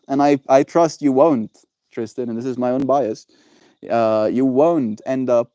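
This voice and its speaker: A man's voice: mid-range voice, dark tone, dry recording. A young man chatting casually on his livestream, laid-back and encouraging, answering viewers and calling them bro.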